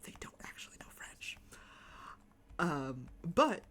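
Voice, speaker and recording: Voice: medium pitch, very animated, slow, a bit bright, whispered. A streamer with a Chicago accent, chatting casually and rambling while playing a game at home. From United States. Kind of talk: casual live-stream chat while gaming.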